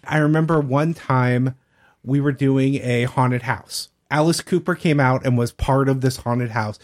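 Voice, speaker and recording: A man's voice: medium pitch, measured pace. A man telling anecdotes in a casual, chatty podcast conversation. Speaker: podcast regular telling stories.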